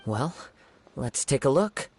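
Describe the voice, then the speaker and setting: Calm poetic young samurai wanderer from a game, soft gravelly voice, mid pitch, measured. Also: male voice, exaggerated voice. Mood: solemn, pensive. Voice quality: soft, husky. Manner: measured, formal, contemplative. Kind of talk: video game character acting.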